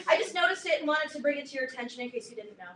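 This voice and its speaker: Woman playing a high, lively, brisk teen leader. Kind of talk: staged reading of a play, naturalistic dialogue. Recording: roomy, distant mic, faint noise.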